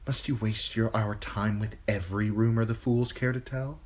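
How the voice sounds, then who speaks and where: A woman's voice: very deep voice, fairly even intonation, moderate pace, darkish tone, voice pushed up. A woman voicing a cold, imperious queen with clipped, threatening speech in an audiobook.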